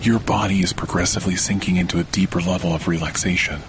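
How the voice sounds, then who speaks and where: A man narrating a scripted guided sleep meditation in a slow, soothing, deliberate way with long pauses. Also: low-pitched, background noise. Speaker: podcast host, meditation guide. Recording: steady rain and thunder behind voice. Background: rainfall with thunder.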